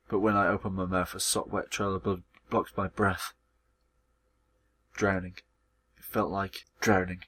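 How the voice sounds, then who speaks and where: Man reading as a low-voiced, anguished protagonist. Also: a bit bright. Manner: dramatic first-person storytelling. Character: hot-tempered, anguished young male visual novel protagonist. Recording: music behind the voice.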